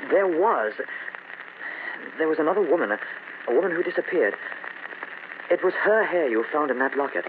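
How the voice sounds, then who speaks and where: A man's voice: fairly high pitch, animated, lightly acted. Guy acting worried earnest young husband, stumbles over words, old radio play, staticky and tinny. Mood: earnest, explaining. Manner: halting, earnest. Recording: noisy narrowband vintage radio recording.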